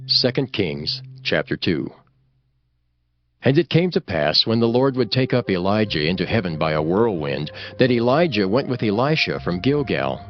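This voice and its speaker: A man reading scripted scripture narration for a dramatized audiobook in a solemn, measured way. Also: on the low side, big pitch swings. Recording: slightly dull audio with music behind. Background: music.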